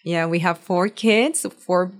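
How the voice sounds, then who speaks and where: A middle-aged woman from Puerto Rico whose first language is Spanish, speaking English with a Puerto Rican accent as a guest telling her story, chatty and quick to laugh. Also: on the low side. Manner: laughs often, chatty and bubbly. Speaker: guest author. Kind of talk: casual podcast interview.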